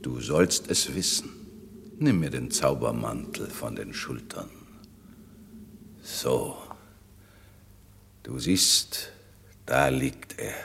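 Deep, very slow, solemn magician. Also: male voice, creaky, a bit bright, breathy edge, heavily acted. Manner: solemn, measured, theatrical. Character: grave, commanding magician father soothing his young daughter. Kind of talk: classic German radio play acting. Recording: long reverberant hall, noisy background.

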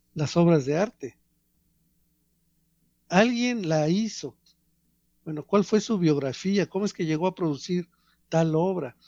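Man, professorial radio commentator, slow deliberate delivery with pauses. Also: a little high, a little mellow, no room sound, highs cut off. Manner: measured, professorial, pauses between phrases. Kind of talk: reflective radio talk on art.

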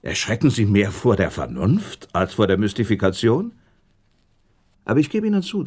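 Radio play prince: husky, lowish voice, coolly reasoning, formal and self-assured. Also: male voice, darkish tone, exaggerated voice. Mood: reasoning, insistent. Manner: measured, rhetorical, deliberate delivery. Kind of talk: German radio drama acting, formal literary dialogue.

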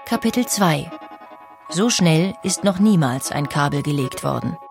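Female documentary narrator, lower register, steady and clear. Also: measured pace, over music. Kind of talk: scripted narration.

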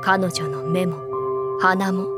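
A woman playing the solemn narrator of a gothic horror tale, grave and deliberate in dramatic prose. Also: fairly low pitch, fairly even intonation. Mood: grave, ominous. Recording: voice under loud ambient music.

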